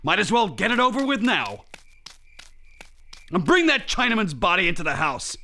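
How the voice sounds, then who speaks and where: A man acts out a gruff millionaire rancher in a radio mystery. The delivery swings widely in pitch, brusque and irritable, used to giving orders. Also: big pitch swings, moderate pace, bright, forceful tone, exaggerated voice. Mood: exasperated. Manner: brusque, commanding. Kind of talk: old-time detective radio drama acting.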